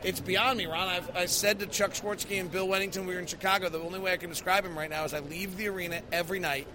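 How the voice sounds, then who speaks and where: A man's voice: high-pitched, fairly even intonation, fast, noisy background. An American man hosting a basketball post-game podcast, giving emphatic analysis and stressing the key figures. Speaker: sports podcast host.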